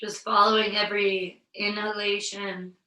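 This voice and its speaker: A woman yoga instructor with a slight head cold, guiding a virtual class through breathing in a slow, soothing way. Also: medium pitch, little pitch movement, sharp tone, echoey room, distant mic, low-quality recording.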